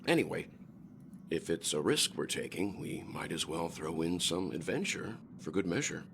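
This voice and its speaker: An actor voicing a composed, gentlemanly middle-aged man in a video game, calm, measured and dignified. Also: low voice, vocal fry, background noise, full character voice. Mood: calm, resolved. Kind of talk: scripted game dialogue.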